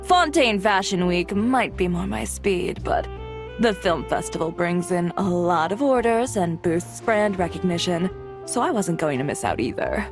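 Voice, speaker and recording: A woman's voice: on the low side, expressive, unhurried, music in the background. A woman voicing a cool, confident fashion designer in a video game, composed and matter-of-fact. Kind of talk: video game character dialogue.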